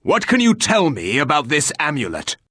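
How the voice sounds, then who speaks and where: Gruff game hero: husky, dry and dismissive, formal deadpan delivery with lively swings, very close dry sound. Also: male voice, on the high side, moderate pace, heavily acted. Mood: curt. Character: gruff, sardonic, rude male warrior hero. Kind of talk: video game voice acting.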